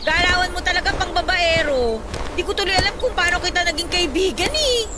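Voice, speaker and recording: A woman's voice: very high voice, lively intonation, brisk, very noisy. An actress playing a frank young woman who is a matchmaking best friend, with a sassy, bantering manner in a radio drama. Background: music.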